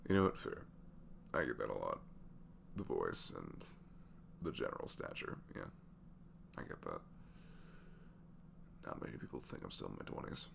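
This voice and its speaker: A man playing a sweet, tired single dad in his twenties in an intimate ASMR roleplay, talking haltingly. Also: a bass voice, sing-song, gravelly, slight background noise, voice pushed down, heavily acted. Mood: reassuring. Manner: hesitant, halting, softly chuckling.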